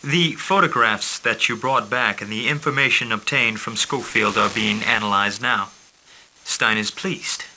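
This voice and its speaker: An actor playing a formal male intelligence handler who briefs a commander on his missions in a calm, businesslike way. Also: fairly low pitch, unhurried, slight background noise, low-quality recording. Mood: briefing. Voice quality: crisp, formal delivery. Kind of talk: scripted game briefing.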